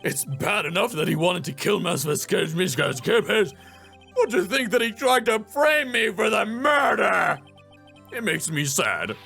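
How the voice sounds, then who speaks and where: Male performer as naive, tender-hearted game character: husky, gravelly, swooping pitch, plaintive and hesitant. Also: very animated, measured pace, pushed and bright, faint music behind, exaggerated voice. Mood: tearful, plaintive. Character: sad, naive, soft-hearted male TV hero actor.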